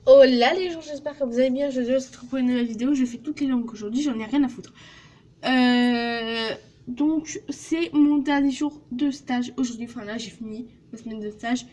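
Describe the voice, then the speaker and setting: A woman's voice: sits high, moderate pace, darkish tone. A teenage girl vlogging casually from a small office, joking and swearing as she walks through her work placement. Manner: crude, joking, swears a lot. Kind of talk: casual vlog.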